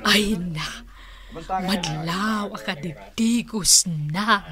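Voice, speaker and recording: A woman playing a coy, lovestruck, giggly visitor in a comic radio drama, with hesitant, drawn-out delivery. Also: medium pitch, crowd noise. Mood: coy, delighted.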